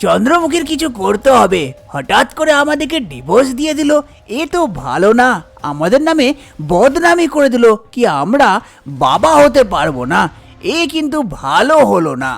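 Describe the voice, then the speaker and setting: A woman's voice: a little high, big pitch swings, normal speed, creaky, soft background music, exaggerated voice. A woman voicing resentful, scheming jilted village men in a cartoon story. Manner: grumbling. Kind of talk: cartoon folk tale character voice.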